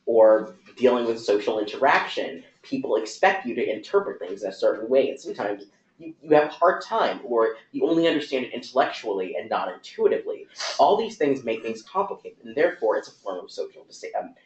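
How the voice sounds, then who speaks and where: Guy talking it through fast with lots of ums, mid pitch, dull roomy recording. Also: expressive. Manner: thoughtful, rapid run-on explaining with fillers. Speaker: talk speaker. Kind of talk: conversational talk. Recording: echoey room, dull lo-fi sound.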